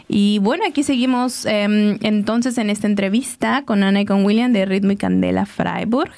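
A woman's voice: medium pitch, creaky, darkish tone. Enthusiastic woman hosting a radio interview. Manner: enthusiastic, affirming.

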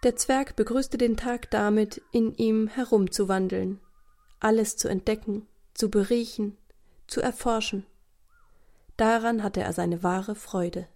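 Mellow female children's storyteller. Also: medium pitch, fairly even intonation, measured pace. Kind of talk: scripted children's story narration.

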